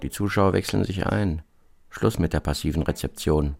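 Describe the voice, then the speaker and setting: A man presenting scripted radio narration in a measured, deliberate way. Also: low-pitched, gravelly, a little mellow. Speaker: presenter and performer.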